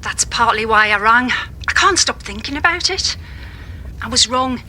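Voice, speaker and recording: High, bright, earnest woman. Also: expressive, normal speed, slight background noise, poor audio. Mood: earnest, unsettled. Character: earnest, unsettled daughter of a crime victim. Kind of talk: naturalistic radio drama acting. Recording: faint background ambience.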